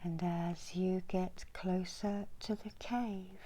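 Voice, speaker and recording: Very slow, hushed woman guiding a meditation. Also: fairly low pitch, fairly even intonation. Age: middle-aged. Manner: slow, hushed, soothing, often dropping into whispers. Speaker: guided meditation host, Reiki healer. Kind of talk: ASMR guided meditation storytelling. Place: indoors in a small room. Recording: clear background noise and ambience.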